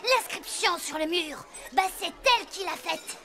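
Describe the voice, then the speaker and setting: A woman voicing an excitable, timid and teasing young schoolboy sidekick in a cartoon, childlike and exclamatory. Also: very high voice, measured pace, exaggerated voice. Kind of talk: animated cartoon dubbing in French.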